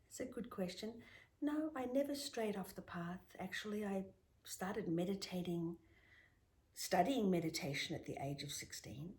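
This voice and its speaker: A middle-aged woman whose mother tongue is Greek, telling reflective stories in an interview with emphatic rises and soft whispered asides. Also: mid-range voice, normal speed. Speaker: guest, spiritual healer.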